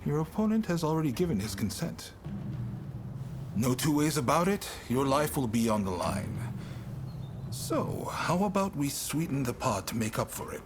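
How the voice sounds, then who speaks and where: An actor playing a breezy, teasing, self-important shogunate official in a video game, with a playful, theatrical delivery. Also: male voice, on the high side, big pitch swings, normal speed. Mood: smug. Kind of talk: video game cutscene acting. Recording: loud music behind the voice. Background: music.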